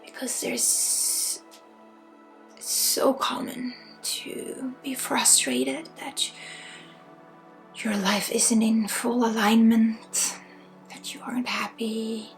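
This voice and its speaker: A Swedish woman with a Swedish accent leading an ASMR guided energy healing, speaking softly and often slipping into whispers. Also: fairly high pitch, fairly even intonation, drawn-out words, soft background music. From Sweden. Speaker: spiritual healer and ASMR host.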